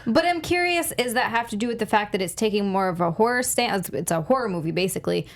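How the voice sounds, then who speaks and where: Female panelist, medium-pitched voice, informal conversational delivery. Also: lively intonation, fast talker. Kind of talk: casual panel discussion.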